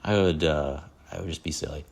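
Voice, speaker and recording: Male podcast host, low voice, rambling and self-deprecating, casual solo chat. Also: fairly quick, vocal fry, a little mellow, breathy edge.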